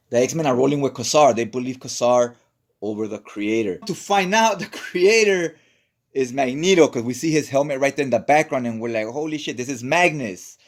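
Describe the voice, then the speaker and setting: A man narrating a casual recap in an informal, colloquial way, often checking in with "right?". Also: mid-range voice. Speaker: comic book recap narrator. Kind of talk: casual story recap commentary.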